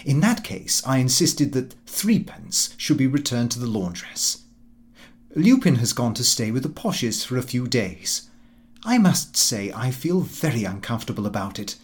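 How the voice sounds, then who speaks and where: A pompous, easily affronted middle-aged Victorian diarist, played by a man, reads out his comic journal. His mid-pitched voice swings widely up and down, while the delivery stays prim, precise and self-important. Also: big pitch swings, measured pace. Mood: earnest, grudging. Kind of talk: scripted audiobook narration of a comic diary.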